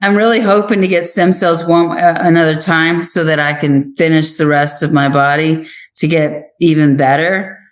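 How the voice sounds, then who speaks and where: Woman, American accent, low register, earnest and emphatic interview guest. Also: fairly even intonation, normal speed, few pauses. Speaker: interview guest, actress and author. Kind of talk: conversational interview answers.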